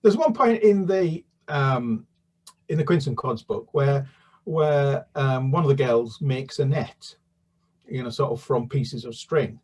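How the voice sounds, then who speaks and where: A man's voice: medium pitch, a little slow, darkish tone, slightly rough, recorded from a distance. A man, a children's author, answering interview questions in a hesitant, rambling, conversational way.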